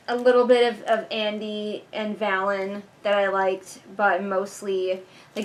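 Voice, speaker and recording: A woman's voice: mid-range voice, a bit bright, slight background noise. A woman hosting a casual read-along, reviewing a book with exasperated, sarcastic commentary. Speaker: book reviewer and video host.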